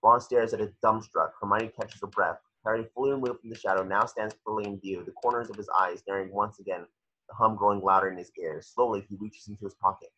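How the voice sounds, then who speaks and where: Husky, forceful low-voiced male narrator. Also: steady tone, fast talker. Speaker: narrator reading stage directions. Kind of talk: screenplay narration at a table read. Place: home, video call. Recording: dull, lo-fi video call.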